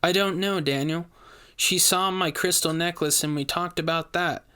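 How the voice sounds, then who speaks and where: Man voicing a high, feisty, urgent heroine. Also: steady tone, moderate pace, pushed and bright, clear, resonant tone. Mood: nervous recounting. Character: bold, defiant young woman, fiery companion of the hero.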